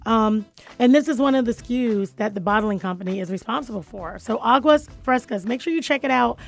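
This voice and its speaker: A raspy-voiced woman in her thirties hosting a talk radio show with lively, laughing banter in a studio. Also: fairly high pitch, a little fast.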